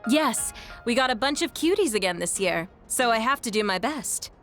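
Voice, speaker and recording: A woman's voice: on the high side, lively intonation, normal speed, a bit bright. An actress playing a sweet yet authoritative female platoon captain in an anime game. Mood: sweet, playful. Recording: music behind.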